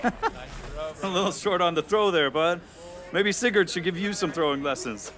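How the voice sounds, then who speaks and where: A man's voice: sits high, normal speed, a crowd in the background, lightly acted. A man playing a cocky teen quarterback. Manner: teasing, brash. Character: cocky teenage star quarterback.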